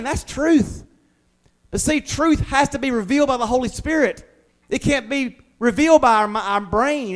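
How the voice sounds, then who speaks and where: A middle-aged man preaching an informal, conversational sermon as a pastor, in a folksy way. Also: high voice. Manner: folksy, uses homely examples and acts out imagined replies.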